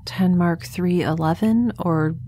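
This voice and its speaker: A woman playing a weary, wry scientist stranded in space, speaking a halting, deliberate and wordy log entry for an audio drama. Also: lowish voice, warm tone. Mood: weary, uneasy.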